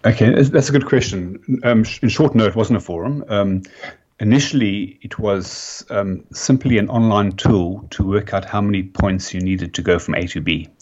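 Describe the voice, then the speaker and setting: A South African man in his fifties, a company founder giving a podcast interview, talking haltingly with many fillers and restarts. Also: fairly low pitch, a little mellow. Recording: dull lo-fi sound.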